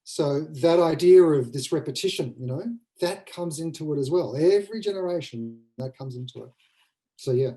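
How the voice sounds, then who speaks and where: A man's voice: on the high side, normal speed, dark tone. A man speaking as a playwright guest in a casual panel discussion, reflective and emphatic. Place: indoors, online video call.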